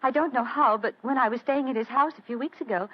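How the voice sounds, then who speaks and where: A well-bred young British lady played by a woman in a radio play, with a fairly high, girlish voice. She is forthright and coaxing, earnest yet self-assured, on a dull lo-fi recording. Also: quick pace, close to an everyday voice. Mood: distressed, earnest. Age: young adult. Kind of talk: radio drama acting.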